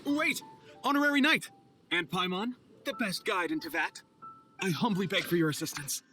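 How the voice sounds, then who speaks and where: A man's voice: sits high, moderate pace, pushed and bright, faint music behind. A man playing an earnest, overly polite knight's logistics clerk in a video game, formal and a little nervous. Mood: urgent, pleading.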